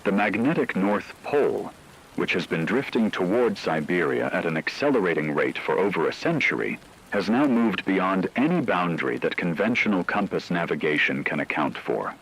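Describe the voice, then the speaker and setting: A man playing a grave, formal radio newscaster delivering a measured, scripted emergency broadcast in a radio drama. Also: deep voice, very animated, fairly bright tone, breathy edge, heavily acted, rough recording. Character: grave male radio newscaster announcing planetary catastrophe. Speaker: broadcast announcer.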